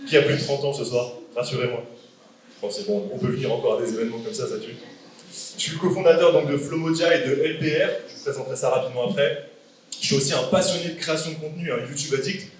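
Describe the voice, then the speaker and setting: A man's voice: mid-range voice, fast talker, slight background noise. A young man in his late twenties talking to a crowd in a big hall, casual and joking, tossing questions to the room. His voice sits mid-range and comes from well away from the mic. The room rings with heavy echo. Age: 20s. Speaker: guest speaker. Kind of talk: live talk to an audience. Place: large event hall with an audience. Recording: echoey hall from far off.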